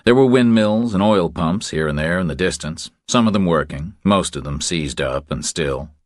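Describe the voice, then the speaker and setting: A man narrates fiction from a script. His voice sits low, and the recording is dry, with no room sound around it. He reads in the third person as an audiobook narrator. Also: moderate pace, no echo.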